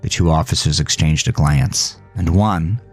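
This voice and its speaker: A man narrating a first-person horror audio drama in a somber, dramatic manner with deliberate phrasing. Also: rumbling low, darkish tone, husky. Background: music.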